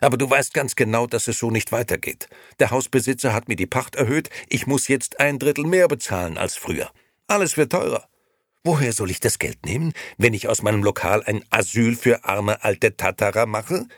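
A man's voice: on the low side, lively intonation, brisk, hardly any pauses, fairly bright tone, no echo, clean studio sound, pitched above the performer's own voice. A man voicing a nervous, irritable, ambitious male innkeeper who justifies himself defensively, in an audiobook. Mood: heated. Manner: agitated, defensive, self-justifying. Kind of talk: audiobook character voice.

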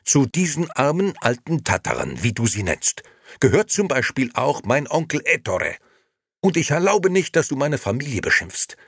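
A man's voice: medium pitch, huge pitch swings, moderate pace, breathy edge, no echo, studio quality, pitched above the performer's own voice, exaggerated voice. A man voicing a brusque, indignant woman, an innkeeper's fiercely loyal wife, in a scolding audiobook character voice.

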